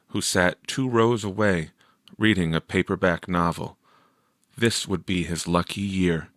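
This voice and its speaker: Low-voiced man reading a story, close and dry. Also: fairly bright tone, no room sound. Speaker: audiobook reader. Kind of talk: expressive scripted narration of a short story.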